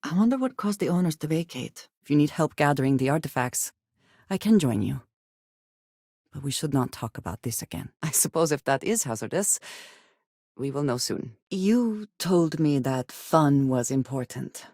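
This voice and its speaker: Guarded female sci-fi game companion, gravelly edge, formal measured diction, devout and loyal, very dry close sound. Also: low voice, lively intonation, dark tone, dry recording. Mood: guarded, composed. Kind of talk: video game character dialogue.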